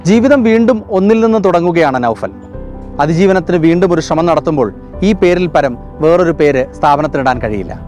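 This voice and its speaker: A male reporter in his thirties speaks into a handheld mic outside a restaurant, rattling off his report at a quick clip. Faint music sits under the piece. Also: a little high, animated. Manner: rapid, unscripted piece to camera. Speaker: field reporter.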